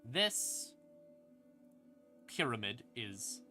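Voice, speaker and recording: Male lore streamer, mid-pitched and sharp-edged, casual and sardonic, faint ambient music underneath. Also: very animated, extremely slow. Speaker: streamer explaining game lore. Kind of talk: casual, rambling lore explanation. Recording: music playing behind the voice. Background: creepy ambient music.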